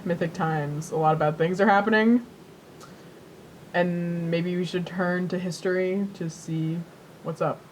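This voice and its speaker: A woman's voice: fairly low pitch, steady tone, unhurried, slight background noise, poor audio. A woman co-hosting a podcast, chatting casually with understated, dry reactions. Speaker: podcast co-host.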